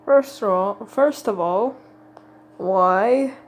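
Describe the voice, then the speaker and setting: A woman giving casual, unscripted reaction commentary in a halting, exasperated way, swearing freely. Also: mid-range voice, a little hiss or hum.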